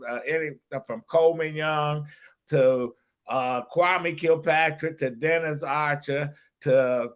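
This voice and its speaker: Middle-aged detroit-area host, bright sharp voice, brags and trips over his words. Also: a little high, smooth. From Detroit area, Michigan. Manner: boastful, stumbling, laughs at own jokes. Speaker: podcast host. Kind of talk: casual podcast chat.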